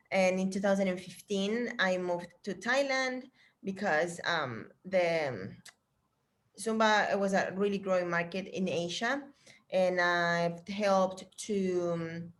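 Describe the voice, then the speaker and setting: Chatty middle-aged woman from Chile on a video call. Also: lowish voice. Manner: chatty and encouraging, with fillers and restarts. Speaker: fitness trainer hosting a video meeting. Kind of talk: casual chat on a video call.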